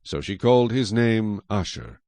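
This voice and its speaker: A man narrating scripture dramatically, in an expressive storytelling manner. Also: on the low side, normal speed, no echo.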